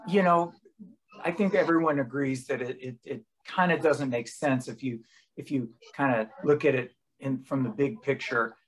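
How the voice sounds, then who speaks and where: A middle-aged American man hosting a casual video-call chat, speaking haltingly and repeating words as he goes. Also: medium pitch, slight background noise, rough recording. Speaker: host and touring musician. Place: room at home with bookshelves.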